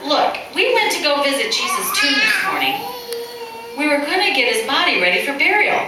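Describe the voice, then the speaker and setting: A woman playing a female follower of Jesus in an amateur puppet show, telling astonishing news deliberately. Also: high-pitched, very animated. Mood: earnest. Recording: very echoey, distant mic, faint noise.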